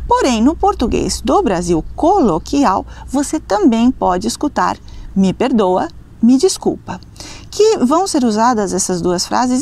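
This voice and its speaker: A woman in her forties teaches beginners in a clear, careful voice, repeating each example phrase. Her pitch sits a little high and moves up and down a lot. She records outdoors on a phone held at arm's length. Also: a little mellow. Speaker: language teacher. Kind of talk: beginner language lesson to camera. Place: outdoors on a seaside cliff. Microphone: phone camera at arm's length.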